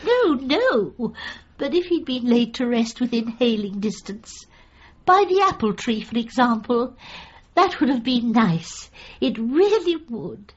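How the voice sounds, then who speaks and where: An actress playing a grieving, guilt-ridden widow in a radio comedy drama, hesitant, plaintive and tearful. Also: female voice, a little high, big pitch swings, a little slow, husky, dull audio, exaggerated voice. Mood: wistful.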